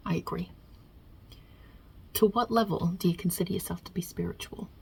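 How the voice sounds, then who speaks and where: A woman's voice: lowish voice, fairly even intonation, moderate pace, vocal fry, mellow, husky, full character voice. A woman playing a non-binary Italian mob boss God with a put-on New York Italian-American accent, wry and casual with muttered asides, in an ASMR roleplay. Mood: dry, teasing.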